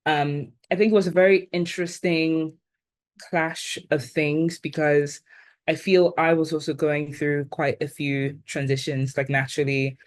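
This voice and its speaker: A woman in her twenties from Ghana, with an accent that blends Ghanaian and British, reflecting thoughtfully as an interview guest and often pausing on "like" and "um". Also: sits low, little pitch movement. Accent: blend of Ghanaian and British, now neutralized. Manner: thoughtful, with many "like" and "um" fillers.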